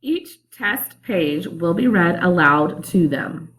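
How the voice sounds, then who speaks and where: A woman teacher narrating a calm instructional screencast about online testing. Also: fairly low pitch, slow, low-quality recording. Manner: calm, explanatory.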